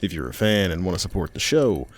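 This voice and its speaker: A man telling a scripted horror tale as a folksy fireside storyteller and host, with dramatic pauses. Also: low-pitched, very animated, a little hiss or hum.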